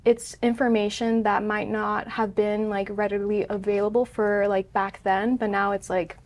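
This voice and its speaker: Flat-toned young woman, casual. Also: medium pitch, normal speed. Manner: even, heavy use of "like". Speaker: student guest. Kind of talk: casual discussion.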